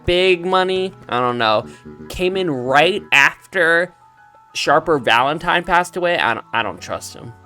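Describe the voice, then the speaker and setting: A man's voice: a little high, a little slow. A man hosting a let's play, chatting casually and reacting aloud as he plays. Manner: animated, reacting aloud to the game. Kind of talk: casual gameplay commentary. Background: game music with guitar.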